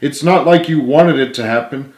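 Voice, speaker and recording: A man's voice: on the high side, normal speed, a little mellow, pitched above the performer's own voice, close to an everyday voice. A remorseful male superhero teammate, played by a man reading a storybook, speaking with earnest support and reassurance. The voice echoes in a room. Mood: reassuring. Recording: roomy, echoey home recording.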